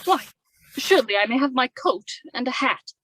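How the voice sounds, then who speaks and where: Radio play role for a woman: young widow, anxious, defensive, high voice, dry sound. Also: expressive, normal speed, fairly bright tone, no echo. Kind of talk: radio drama acting.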